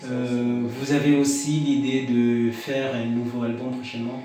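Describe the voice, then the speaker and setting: Guy doing interview questions, halting delivery, really echoey and off the mic, music behind. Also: mid-range voice, flat delivery, warm tone, distant mic. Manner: halting, hesitant phrasing with many drawn-out pauses. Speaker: interviewer, show host. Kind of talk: radio-style interview questions.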